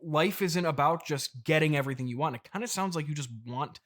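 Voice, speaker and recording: A man's voice: a little high, brisk, a bit bright, lightly acted. A man playing a patient, exasperated billionaire father hosting a comedy podcast interview, placating and hesitant. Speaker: podcast host.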